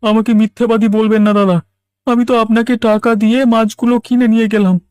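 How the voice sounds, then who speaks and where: A man's voice: sits high, level tone, fast, a little mellow, clean tone, no echo, clean studio sound, lightly acted. A man playing an aggrieved village fish seller in a cartoon, earnestly protesting and standing his ground. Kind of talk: Bengali cartoon dub.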